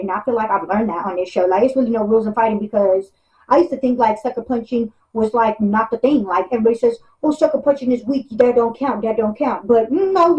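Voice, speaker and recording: A woman's voice: fairly high pitch, darkish tone. A woman chatting casually about her time on a reality show, rambling and hesitant, with slangy phrasing. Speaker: reality show cast member. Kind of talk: casual chat. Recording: echoey room sound.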